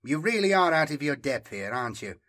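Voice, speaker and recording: A man's voice: fairly high pitch, sing-song, a little fast, fairly bright tone, pitched above the performer's own voice. A man voicing a smug, self-assured male fugitive in an audiobook, superior and teasing in manner. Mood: amused, teasing. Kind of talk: audiobook character dialogue.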